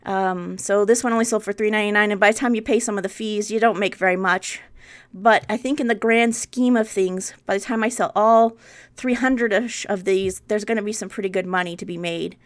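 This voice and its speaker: Clear-voiced woman in her forties, chatting casually. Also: mid-range voice, fairly even intonation, a little fast. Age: 40s. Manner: unscripted, conversational, thinking aloud. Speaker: part-time online reseller, video host. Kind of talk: casual explanatory vlog narration.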